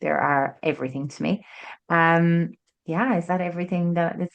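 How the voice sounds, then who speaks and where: A woman in her late thirties with a County Kerry Irish lilt tells her story as a podcast guest. Her voice sits low, and she talks in a loose, chatty way, with plenty of "like" and "um" as she goes. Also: steady tone, normal speed, creaky, dull audio. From Ireland. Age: late 30s. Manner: chatty, warm storyteller with frequent "like" and "um". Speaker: guest author. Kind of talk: casual podcast interview. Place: studio in person with host.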